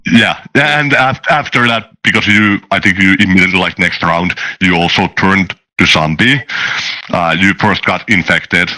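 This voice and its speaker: A man's voice: low voice, moderate pace, pushed and bright, poor audio. A man telling game-master anecdotes casually on a podcast, circling back and repeating phrases as he goes.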